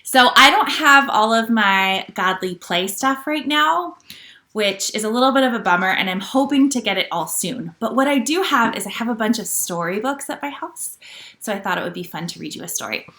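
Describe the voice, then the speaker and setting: A woman leading a children's church storytime at home, talking gently to young listeners with questions and reading aloud. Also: a little high, expressive, moderate pace, reverberant. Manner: gentle teacher talk, asking children questions.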